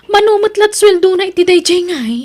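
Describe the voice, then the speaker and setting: High-pitched snobbish young Ilocano gossip. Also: female voice, fairly quick, a little mellow. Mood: smug. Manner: haughty, belittling. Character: boastful, gossipy young woman proud of her professional boyfriend. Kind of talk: Ilocano audio drama acting.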